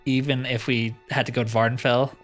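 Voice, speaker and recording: A man with a mid-pitched voice hosts a video game playthrough. He talks casually, thinking aloud in short, informal remarks. Music plays behind his voice. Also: flat delivery, a little fast, a bit bright. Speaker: gaming host.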